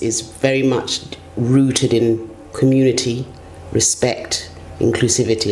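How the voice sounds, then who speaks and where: A woman's voice: very deep voice, darkish tone. A middle-aged woman, giving reflective, conversational answers as an interview guest. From Ghana and the UK. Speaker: interview guest, senior HR executive. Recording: roomy echo, clear background noise.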